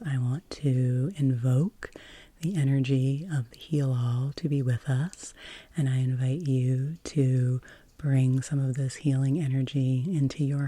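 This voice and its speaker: A very low-pitched woman speaks in a breathy, whispered ASMR style. Her delivery is slow and soothing, with crisp, very bright sibilance. She gently guides the listener through relaxation. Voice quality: breathy, whispery soft-spoken.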